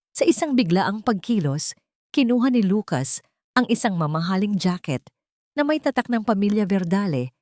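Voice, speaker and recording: A woman narrating a scripted dramatic story in a solemn, sentimental and measured way. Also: lowish voice, darkish tone, no room sound, clean studio sound.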